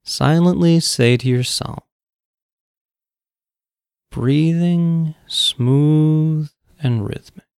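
Male meditation coach, calm and measured, dry close studio sound, lots of vocal fry. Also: fairly high pitch, no echo. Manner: calm, measured, long pauses between phrases. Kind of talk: guided meditation, slow soothing instruction.

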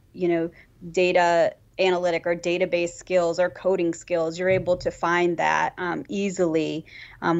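A woman answering as an Army guest on a podcast, explaining clearly with some fillers. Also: lowish voice, a little mellow, highs cut off.

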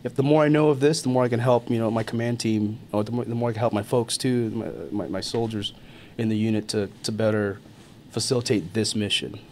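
A man, an army NCO born in Thailand and raised in America, talking, relaxed, chuckling often and filling gaps with "uh" and "um". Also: fairly low pitch, fairly quick, slight background noise. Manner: easygoing, chuckles often, many fillers and restarts. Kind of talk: casual interview talk.

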